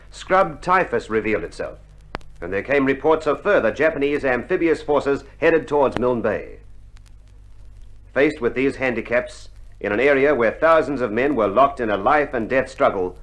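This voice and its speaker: A man giving solemn, scripted war documentary narration in a formal, measured manner, in a 1960s style. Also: medium pitch, lively intonation, rough recording.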